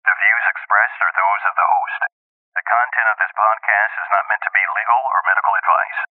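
Tinny, low male disclaimer voice. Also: steady tone. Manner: formal, measured. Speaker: disclaimer announcer. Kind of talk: read disclaimer. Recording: tinny phone-like sound.

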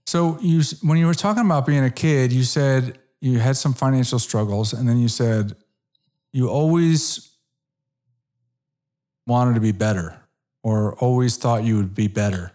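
A man's voice: medium pitch, measured pace, dark tone. Chatty middle-aged male interviewer. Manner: conversational, restarts, frequent "you know" and "right?". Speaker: interview host. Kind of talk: casual interview.

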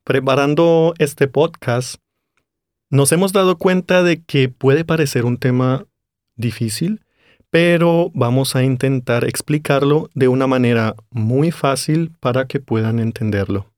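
A man co-hosting a language podcast, explaining things clearly for learners. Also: medium pitch, unhurried, a little mellow, no echo, studio quality. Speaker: podcast co-host, language teacher. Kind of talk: casual podcast chat.

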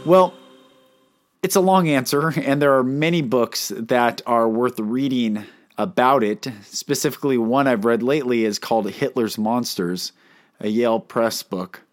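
A man's voice: mid-range voice, unhurried, soft background music. A man hosting a solo talk episode, earnest and emphatic, asking his listeners questions; he cannot roll his R's. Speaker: podcast host and life coach.

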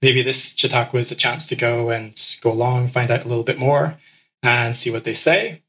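A man with a bright, forceful voice speaks as a podcast guest. He grew up in Ecuador and tells his story casually, stumbling into small repeats as he goes. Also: mid-range voice, steady tone, moderate pace. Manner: frequent word repeats and restarts. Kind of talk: conversational interview storytelling.

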